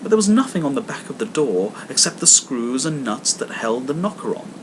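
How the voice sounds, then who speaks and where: A man reading a classic ghost story aloud in an expressive, dramatic manner with emphatic stresses. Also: a little fast. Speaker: audiobook reader. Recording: clear background noise, low rumble and hum.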